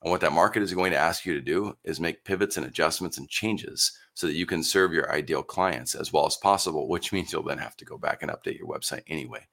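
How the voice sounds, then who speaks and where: A man hosting a live business podcast, hoarse from losing his voice, rambling and upbeat through his intro and sponsor mentions. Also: rumbling low, fast talker, few pauses, pushed and bright. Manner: rambling, upbeat, self-deprecating. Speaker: podcast host.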